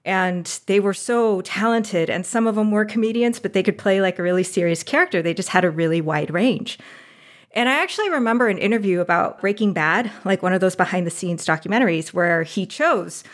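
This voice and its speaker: A woman's voice: mid-range voice, brisk. Enthusiastic woman guest with a mid-range voice, chatty and full of laughs. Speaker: audio drama producer and guest. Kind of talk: casual interview chat.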